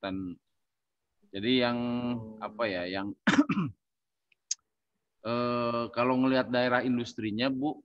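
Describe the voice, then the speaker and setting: A man talking casually in a discussion, haltingly searching for words as he thinks aloud. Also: medium pitch, fairly even intonation, no room sound, lo-fi sound. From Indonesia. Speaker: panelist.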